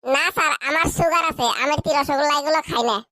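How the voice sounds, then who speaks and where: Cartoon schoolboy: very high, sharp, naive and dim-witted, pleading, close dry recording. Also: female voice, quick pace, no echo. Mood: meek protest. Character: cheeky, dim young schoolboy pupils, meek before teacher. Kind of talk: comic cartoon dub.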